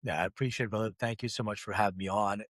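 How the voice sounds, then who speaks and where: A man's voice: fairly low pitch, steady tone, very fast, dry recording. A middle-aged man from Lithuania, raised in Philadelphia, telling his life story openly and with self-deprecating humor as an interview guest. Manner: open, self-deprecating, acts out quoted voices. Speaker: guest, company CEO.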